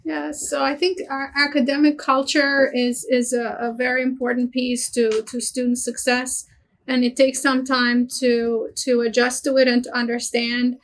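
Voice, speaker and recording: A woman's voice: high voice, little pitch movement, normal speed. A woman from Romania, a Romanian native speaker, talking in a webinar discussion over a video call, often repeating words as she searches for phrasing. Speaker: guest speaker, international office director.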